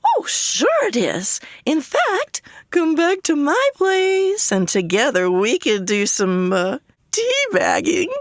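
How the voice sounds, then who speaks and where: 1940s radio spokeswoman, unhurried, very swoopy, coy, flirty, cheerful. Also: sits high, huge pitch swings, no echo, clean studio sound, full character voice. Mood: coy, flirtatious. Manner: coy, teasing, giggly.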